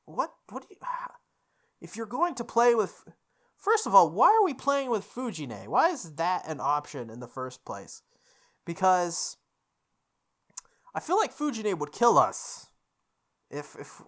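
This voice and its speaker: A woman hosting a visual novel playthrough, reading the text aloud in a measured way and commenting casually. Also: low voice, fairly bright tone. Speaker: gaming video host.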